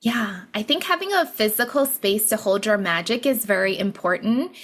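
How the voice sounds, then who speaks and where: A woman's voice: medium pitch, expressive, moderate pace, a bit bright. A woman from Hawaii who speaks English as a second language, a guest author explaining her ideas in an encouraging, chatty way with frequent "you know" and "right?". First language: not English. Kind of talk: conversational podcast interview.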